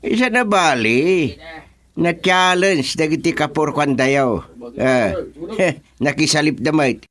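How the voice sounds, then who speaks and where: Village contest organizer, Ilocano accent, slightly high male voice, comic radio serial. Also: expressive, slow pace, a bit bright. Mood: directing. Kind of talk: radio drama comedy acting.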